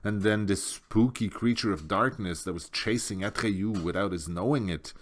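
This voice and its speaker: A man's voice: on the low side, normal speed, slight background noise. A man narrating a storybook as an audiobook reader.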